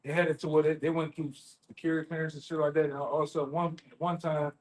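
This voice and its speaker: A man's voice: on the high side, little pitch movement, fast talker, a little mellow. A man in casual, unscripted talk, colloquial and prone to repeating his phrases. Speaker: member of a street preaching group.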